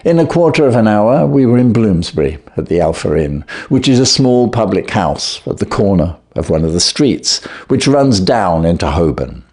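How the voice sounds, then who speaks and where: A man with a fairly low voice narrates a storybook. He reads in a measured, expressive way, with plenty of movement in his intonation. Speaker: audiobook reader.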